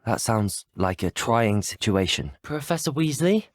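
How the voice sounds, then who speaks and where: Courteous young British student wizard, very animated. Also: male voice, fairly low pitch, a little slow, dry recording, studio quality. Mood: earnest, intrigued. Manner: courteous, well-spoken. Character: polite, earnest, inquisitive teenage male student wizard. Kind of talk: scripted video game dialogue voice lines.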